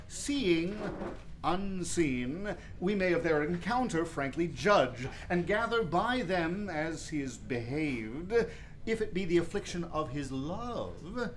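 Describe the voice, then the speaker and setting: A man playing a scheming, commanding middle-aged king in a Shakespearean radio play, formal and measured. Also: high-pitched, a little hiss or hum, rough recording.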